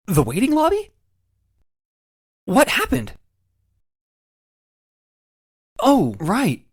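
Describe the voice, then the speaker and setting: A man's voice: high voice, breathy edge, full character voice. A man playing an anxious, easily flustered young defense attorney in a video game drama, stammering and exclaiming. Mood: dazed, bewildered.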